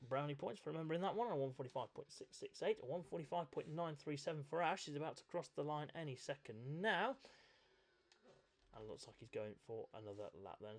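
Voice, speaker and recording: Croaky man commentating a race. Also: mid-range voice, darkish tone. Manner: chatty, self-mocking asides. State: croaky from a chest infection. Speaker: race commentator and event host. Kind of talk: live esports race commentary.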